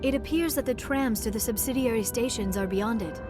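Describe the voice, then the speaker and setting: A woman's voice: a little high, a little fast, over music, lightly acted. A woman playing a practical, observant squadmate in a video game, speaking precisely and matter-of-factly. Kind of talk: scripted video game voice acting.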